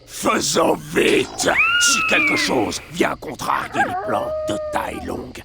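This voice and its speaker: An actor playing a taunting, excitable henchman in a game, over a loud noisy background. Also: very high voice, takes it slowly, exaggerated voice. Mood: alarmed, aggressive.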